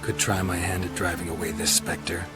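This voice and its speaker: Male actor as game monster hunter, man, deep voice, deadpan, terse, unflappable, loud music behind. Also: a little fast, close to an everyday voice. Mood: businesslike. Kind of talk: video game cutscene acting.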